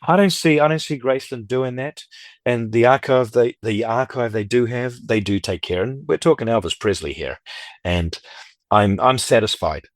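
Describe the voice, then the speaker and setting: A man's voice: mid-range voice, normal speed, no echo. A middle-aged man talking casually and at length as an interview guest, rambling through anecdotes and acting out what others said. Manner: talkative, rambling, anecdotal, quotes others' speech. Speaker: podcast guest, record collector and dealer.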